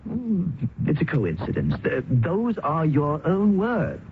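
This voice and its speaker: An actor playing a resolute, idealistic colonial doctor in old-time radio drama, earnest and formal. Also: male voice, on the high side, wildly swooping pitch, slow pace, gravelly, full character voice. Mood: dismissive. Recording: tinny, noisy old radio recording.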